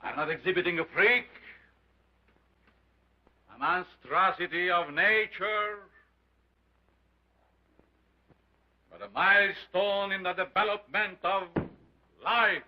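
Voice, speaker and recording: A man playing a grandiose carnival showman and self-styled scientist, speaking in a booming, theatrical way. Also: a little slow, sharp tone, heavily acted, low-quality recording. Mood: commanding. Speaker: sideshow doctor presenting an ape.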